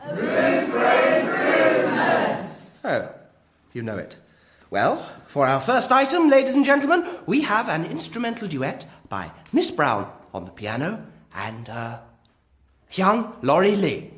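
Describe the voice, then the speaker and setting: An actor playing a jovial village master of ceremonies, theatrically announcing and telling corny riddles in a radio drama, in an echoey hall. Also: male voice, high voice, huge pitch swings, a bit bright, full character voice. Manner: theatrical, exclamatory, drawn-out delivery. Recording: echoey hall, light crowd and noise.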